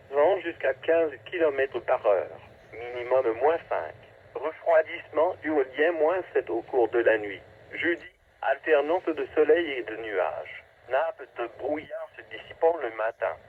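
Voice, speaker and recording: Casting: male automated weather announcer, mid-pitched, clipped scripted delivery, heard through a narrow, noisy radio channel. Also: lively intonation, measured pace. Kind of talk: scripted weather forecast reading. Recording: tinny phone-like weather radio, static noise.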